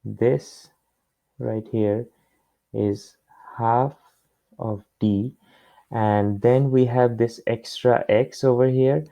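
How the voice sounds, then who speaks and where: A man working through a problem step by step as a tutor, in a halting way with pauses and repeats. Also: medium pitch, steady tone, vocal fry, mellow, no room sound. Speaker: physics tutor. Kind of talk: explaining a worked problem step by step. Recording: dull, muffled lo-fi close recording.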